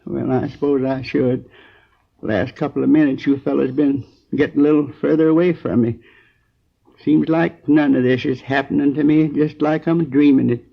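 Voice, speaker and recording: An actor playing a bewildered, wounded hospital patient in a 1950s radio drama, halting and weary. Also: male voice, medium pitch, fairly even intonation, creaky. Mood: dazed, weary. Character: dazed, bewildered wounded man in hospital bed. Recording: muffled 1950s radio recording.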